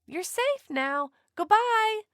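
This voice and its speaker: A woman's voice: very high-pitched, very animated, slow pace, a bit bright, no echo, studio quality, pitched above the performer's own voice, heavily acted. A woman voicing kind, helpful beachgoers from a children's storybook, with emphatic, reassuring calls. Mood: cheerful farewell.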